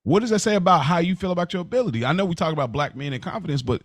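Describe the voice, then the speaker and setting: A man's voice: fairly high pitch, expressive, rapid-fire, dry recording. A man co-hosting a podcast, casually pressing his point with questions and repeated phrases in informal language. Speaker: podcast host.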